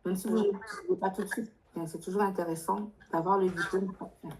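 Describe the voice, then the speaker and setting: A woman's voice: mid-range voice, steady tone, quick pace, a little mellow, poor audio. A woman giving spontaneous advice as a workshop adviser, directive and insistent, with probing questions. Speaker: adviser leading a workshop for international students. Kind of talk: live advisory Q&A, spontaneous spoken explanation.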